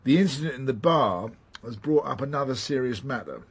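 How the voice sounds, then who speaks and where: An actor playing a middle-aged senior male police detective in a radio drama, questioning in a polite, dry, measured way. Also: mid-range voice, lively intonation, breathy edge. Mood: measured, probing. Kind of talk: naturalistic radio drama acting.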